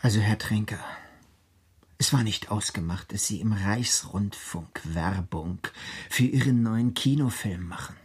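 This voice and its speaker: Husky, officious radio official. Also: male voice, fairly low pitch, measured pace, a little mellow, faint music behind. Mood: stern, reproving. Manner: formal, curt, reproving. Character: stern, officious 1930s Reich radio official. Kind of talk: German radio play acting.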